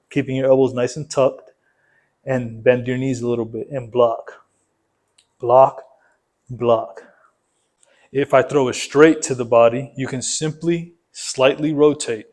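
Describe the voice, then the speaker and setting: A man's voice: medium pitch, darkish tone. A man coaching a boxing workout, explaining it methodically, step by step, in an instructional style.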